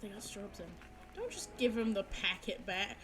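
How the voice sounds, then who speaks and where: A woman's voice: sing-song, moderate pace. Giggly woman streamer chatting over music. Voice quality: fairly low for a woman. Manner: chatty, giggly, self-mocking. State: sore throat. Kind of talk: casual chat with viewers. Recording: music playing behind the voice.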